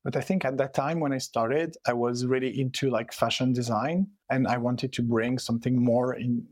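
A man with a Swiss French accent, French and Italian his first languages, chats casually in English. He talks with frequent fillers and restarts while he looks for the right words. His voice sits mid-pitch and is recorded dry and close. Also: darkish tone, dry recording. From Switzerland. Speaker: artist guest. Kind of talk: casual conversation.

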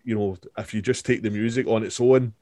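A man, chatting about an album as a podcast co-host, halting and full of restarts. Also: fairly low pitch, fairly even intonation, mellow, no room sound, studio quality. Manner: halting, many fillers and restarts. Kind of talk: casual album discussion.

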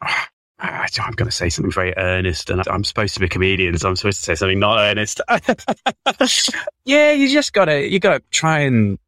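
A man's voice: lowish voice, big pitch swings, brisk, a bit bright, no echo. A man from the south of England, a stand-up comedian, talking in an earnest, chatty and self-deprecating way. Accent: southern English. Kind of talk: casual conversation.

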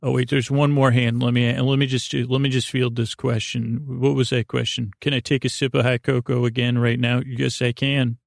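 Creaky-voiced, rambling man. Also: medium pitch, flat delivery, no echo, studio quality. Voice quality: creaky, soothing. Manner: meandering, halting, silly and goofy. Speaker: podcast host. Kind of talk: rambling, whimsical bedtime monologue. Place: podcast studio.

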